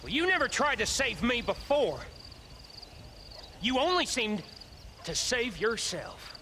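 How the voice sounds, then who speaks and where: A high-pitched, sneering American outlaw boss. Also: male voice, big pitch swings, pushed and bright, slight background noise, heavily acted. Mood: bitter, mocking. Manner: taunting, sneering, drawn-out delivery. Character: mocking, hot-tempered male outlaw gang boss in a Western. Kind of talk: dramatic video game cutscene acting.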